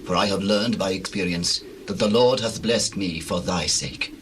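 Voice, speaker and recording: Male actor for older patriarch herd owner, scriptural audio drama. Deep, measured, courteous negotiator; noisy bird-filled scene behind. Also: close to an everyday voice. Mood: courteous bargaining. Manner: measured, archaic scriptural phrasing. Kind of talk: dramatized Bible reading, audio drama acting.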